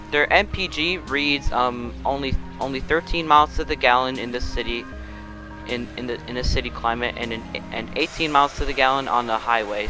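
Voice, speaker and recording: A man's voice: medium pitch, poor audio. Young guy rambling thru a car review, lots of um and restarts, music playing behind. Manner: rambling, many restarts and fillers. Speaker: car review channel host. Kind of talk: casual game car review.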